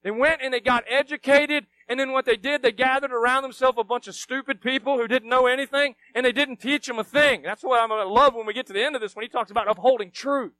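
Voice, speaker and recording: A man's voice: very high voice, fairly even intonation, fast talker, hardly any pauses, rough recording. A middle-aged man, preaching a sermon to his congregation, full of rhetorical questions and sudden emphatic bursts. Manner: shifts between quiet asides and loud emphatic bursts. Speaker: pastor.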